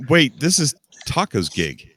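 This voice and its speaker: A man's voice: a little high, very animated, unhurried, a bit bright. An American man hosting a casual live group chat in a bantering, conversational way. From Ohio and Florida, United States. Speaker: stream host.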